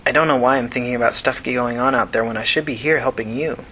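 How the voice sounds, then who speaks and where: A man voicing a tired, anxious but defiant young woman, with an urgent, halting manner. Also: mid-range voice, pushed and bright, a little hiss or hum, lightly acted. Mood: weary, guarded.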